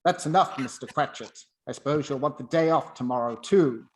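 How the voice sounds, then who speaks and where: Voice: medium pitch, fairly quick, lightly acted. A performer playing a miserly, bitter old businessman in a radio play, curt and scornful. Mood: stern, scornful.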